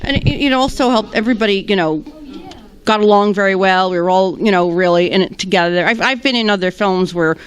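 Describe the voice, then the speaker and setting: A woman actress answering red carpet questions, hesitant, with many pauses and restarts. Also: mid-range voice, smooth.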